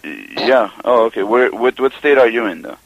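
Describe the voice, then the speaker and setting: A man's voice: medium pitch, expressive, normal speed, vocal fry, no echo, muffled recording. A man from Southern California, the target of a prank call, who stammers and hesitates in casual chat. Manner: hesitant, stammering, laughs easily.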